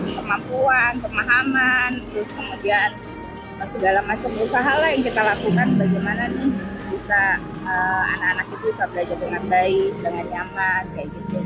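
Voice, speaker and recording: A woman's voice: mid-range voice, low-quality recording. A woman, a school principal casually explaining her opinions with colloquial tags and rhetorical questions. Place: on a ride-hailing motorbike on the road. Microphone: helmet intercom microphone.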